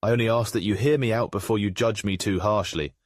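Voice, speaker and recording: A man playing a grave, remorseful aristocratic father in a comic dub, speaking in a formal, measured way. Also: lowish voice, steady tone, no echo, studio quality, lightly acted. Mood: solemn, remorseful.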